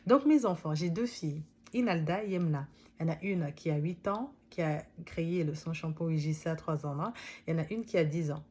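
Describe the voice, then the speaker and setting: A woman's voice: deep voice, a little fast, warm tone, breathy edge. A woman in her thirties, not a native French speaker, chatting directly to viewers as she presents a book summary. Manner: chatty, addresses viewers directly, laughs often. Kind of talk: casual talk to camera.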